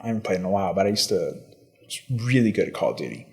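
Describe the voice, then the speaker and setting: A laid-back man in his thirties hosts a casual podcast chat. His voice sits low but swings up and down a lot as he talks. He leans on slang and trailing fillers. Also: sing-song, very fast. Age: 30s. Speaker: podcast host.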